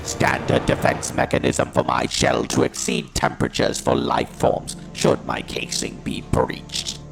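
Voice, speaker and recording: A ruthless alien war machine for an audio drama. The voice is extremely deep and slow, ground into heavy gravel and filtered like a robot. It declaims in menacing staccato, defiant as a tortured soldier. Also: male voice, very animated, over music, exaggerated voice. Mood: cold, defiant. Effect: robotic voice modulation.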